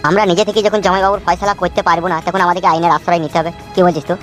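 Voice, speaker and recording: A man's voice: high voice, fairly even intonation, racing, fairly bright tone, faint music behind. A man voicing a scheming know-it-all young boss in a comedy cartoon, explaining and persuading.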